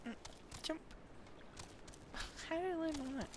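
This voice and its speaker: A woman's voice: extremely high pitch, a little slow. A woman live-streaming a game, chatting casually and reading quest text aloud, stumbling over unfamiliar names. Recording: clearly noisy background.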